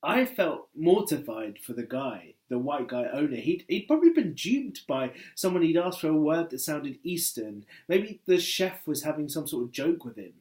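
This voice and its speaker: A man's voice: fairly high pitch, sing-song, moderate pace, hardly any pauses, darkish tone. A man reading a personal essay aloud in a wry, conversational way, leaning on key words for emphasis. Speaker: book editor and essayist reading his work.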